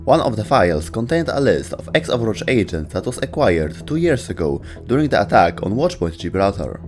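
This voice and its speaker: A man's voice: on the low side, sing-song, fairly quick, a little mellow, soft background music. A man delivering scripted narration as the narrator of a video essay.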